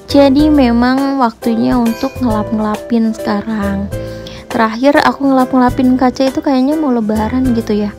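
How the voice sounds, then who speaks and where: A woman's voice: fairly high pitch, steady tone, normal speed. A woman in her thirties, a housewife vlogger, narrating her chores in a friendly, chatty voice-over that keeps addressing her viewers. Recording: voice-over with music bed. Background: background music.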